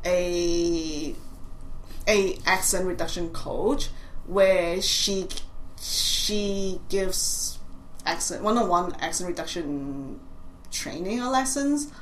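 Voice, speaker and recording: A woman in her forties speaks with a Cantonese accent tinged with British and American English. Her voice sits a little low, and she talks haltingly, with many fillers and restarts. The sound is echoey, with faint noise behind her. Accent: Cantonese with British and American English traces. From Hong Kong. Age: 40s. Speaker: artist guest. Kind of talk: conversational interview.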